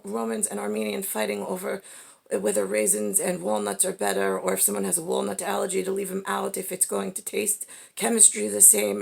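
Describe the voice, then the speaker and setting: A woman with a husky, gravelly voice in a halting, digressive stream-of-consciousness monologue, often checking herself with little questioning tags. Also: mid-range voice, darkish tone. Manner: acts out imagined two-way conversations.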